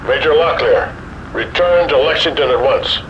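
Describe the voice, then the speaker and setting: A man acting a stern military dispatcher in a war game. The sound is dull like a radio with faint noise, and he gives orders formally at a middling pitch. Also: moderate pace, a bit bright, natural voice. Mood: stern, official.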